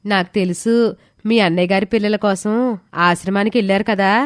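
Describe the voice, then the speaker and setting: A woman voicing a suspicious, sharp-tongued married woman in an animated moral story. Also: medium pitch, fairly quick, no echo, clean studio sound, lightly acted. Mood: accusing.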